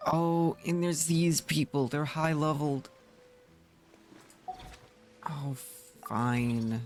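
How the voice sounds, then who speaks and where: A man giving casual live gameplay commentary, muttering to himself in a halting way. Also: on the high side, steady tone. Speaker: game streamer. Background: game music.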